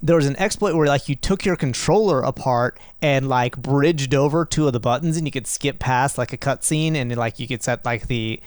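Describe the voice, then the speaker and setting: A man hosting a casual gaming podcast, upbeat and playful, rambling quickly through asides. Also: medium pitch, no echo. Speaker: podcast host.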